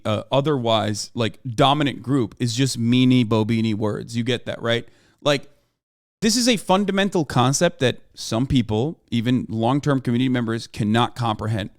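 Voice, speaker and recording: A man's voice: mid-range voice, no room sound, clean studio sound. Emphatic male streamer lecturing his chat. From Turkey. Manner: emphatic, repeats "okay?" and swears for emphasis. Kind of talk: livestream commentary lecturing chat.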